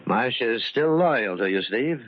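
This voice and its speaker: An actor playing an evasive, grandiose masquerade host under suspicion, in 1940s radio acting style. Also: male voice, mid-range voice, lively intonation, unhurried, close to an everyday voice. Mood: evasive, tense. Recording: noisy, muffled old-time radio recording.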